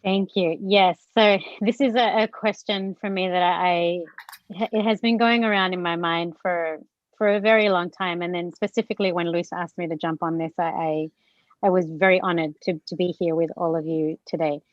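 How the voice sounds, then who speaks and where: A woman born in Chile and raised in Australia, moderating a panel on a video call, speaking earnestly and a little haltingly. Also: on the low side, fairly even intonation, no room sound, muffled recording.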